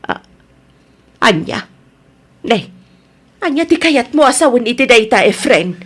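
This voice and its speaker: An actress with a Filipino accent playing a concerned older mother in a radio drama, gentle but frank. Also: a little high, big pitch swings, unhurried, breathy edge, full character voice. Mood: concerned, admonishing.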